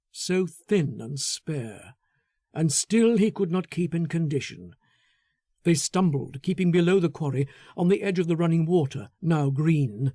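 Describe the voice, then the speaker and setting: A man narrating a literary story in a measured, deliberate, dramatic way. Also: a little high, warm tone, no echo, clean studio sound. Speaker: audiobook narrator.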